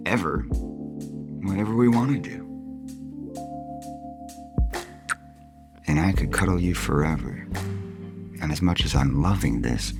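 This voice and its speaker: Low voiced soap opera man, kinda weary and guarded but sweet, talks close and sincere. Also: creaky. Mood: affectionate, relaxed. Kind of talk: audio soap opera acting.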